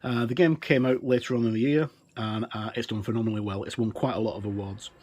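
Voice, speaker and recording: A middle-aged man hosting a casual look-through for a gaming channel, talking in a halting way with pauses mid-sentence. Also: fairly low pitch, steady tone, a little mellow. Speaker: host of a tabletop gaming channel. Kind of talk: casual presenter talk, book look-through. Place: indoors, tabletop overhead shot.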